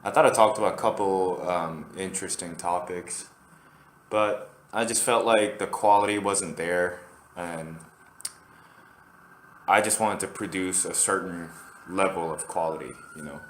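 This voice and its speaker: A man's voice: sits low, measured pace, fairly bright tone, reverberant, slight background noise. A man from Korea, hosting a casual solo podcast and rambling with lots of fillers and restarts. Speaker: podcast host.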